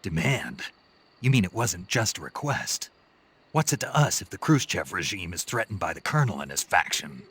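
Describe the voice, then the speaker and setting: An actor playing a gruff, stoic American special forces soldier in a video game, with terse, skeptical, questioning delivery and a rasping voice. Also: male voice, deep voice, sing-song, normal speed, fairly bright tone, exaggerated voice. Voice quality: very husky, gravelly rasp. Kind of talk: dramatic video game voice acting.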